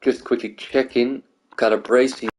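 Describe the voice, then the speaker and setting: A man's voice: medium pitch, flat delivery, normal speed, darkish tone, slight background noise, rough recording. A man from the Netherlands and Greece, hosting a gaming video and thinking aloud in loose, rambling casual commentary.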